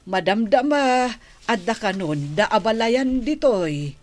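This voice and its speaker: A fussing Ilocano grandmother in a radio play, slow-spoken and expressive, her voice rising and falling as she coaxes. Her delivery stays gentle and unhurried. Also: on the high side. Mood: coaxing. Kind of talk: Ilocano radio drama acting.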